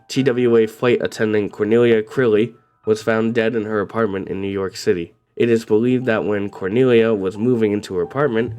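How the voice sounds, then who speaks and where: A man hosting and narrating a true crime story in a somber, steady way, his voice slightly raspy from a cold. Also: on the low side. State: coming down with a cold. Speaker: host.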